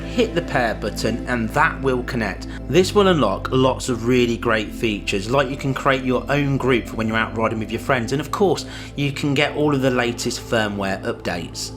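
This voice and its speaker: A man giving a scripted step-by-step product installation guide, explaining clearly as a reviewer. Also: mid-range voice. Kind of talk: scripted instructional narration. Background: background music.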